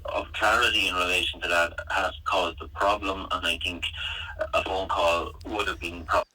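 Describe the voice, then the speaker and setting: A man's voice: sits low, little pitch movement, moderate pace, sharp tone, slightly rough. An Irish man in an unscripted phone conversation, speaking hesitantly and in a conciliatory way, heard as a played-back call. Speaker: chief executive of an association. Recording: distant, harsh replayed phone call.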